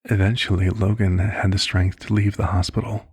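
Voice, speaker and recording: Vampire physician, played by a man, male, ASMR roleplay: low, very husky, gentle, reflective and unhurried in manner. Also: little pitch movement, dry recording, clean studio sound. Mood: somber, reflective. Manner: measured, hushed, reflective storytelling. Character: calm, caring male vampire doctor recounting a past case.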